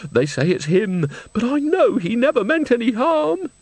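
Man voicing a high, quavering, tearful little old woman. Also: big pitch swings, moderate pace, darkish tone, no echo, exaggerated voice. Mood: tearful distress. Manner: fretful, plaintive, pleading.

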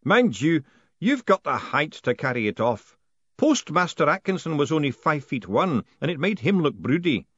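A man's voice: fairly high pitch, big pitch swings, moderate pace, fairly bright tone, husky, no echo, lo-fi sound, pitched above the performer's own voice, heavily acted. A man voicing a fatalistic old lodge master who talks in a weary, mournful way. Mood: gloomy, resigned.

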